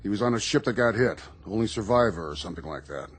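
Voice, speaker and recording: A dutiful naval lieutenant with a lowish, husky voice. He is matter-of-fact and respectful, in radio play style. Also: expressive, fast talker. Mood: uneasy. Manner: clipped, deferential, matter-of-fact. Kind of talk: radio drama acting.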